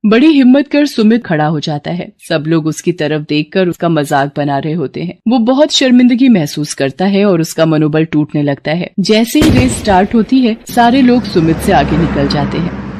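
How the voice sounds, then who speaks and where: A woman's voice: on the low side, animated, moderate pace, mellow. A woman giving scripted narration of a moral story.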